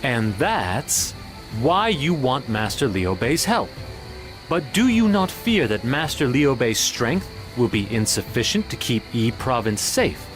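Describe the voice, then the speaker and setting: A man playing a calm, probing strategist in a dramatic video game, speaking in a composed, formal, deliberate way. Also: mid-range voice, soft background music.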